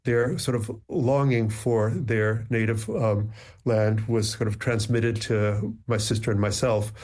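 A man whose first language is Ukrainian, a poet speaking thoughtfully and reflectively in measured phrases as an interview guest. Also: fairly low pitch, steady tone, a little mellow. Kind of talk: thoughtful conversation and poetry reading.

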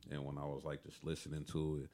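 A young man with a deep voice, talking as a musician guest in a calm, laid-back way. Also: fast. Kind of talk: casual interview chat.

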